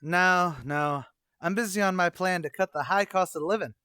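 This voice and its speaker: A man's voice: high-pitched, normal speed, sharp tone, clean tone, no room sound, clean studio sound. A man playing a slangy, blustery, scheming New tavern manager in an old-time radio comedy, mangling his grammar as he wisecracks. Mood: brisk.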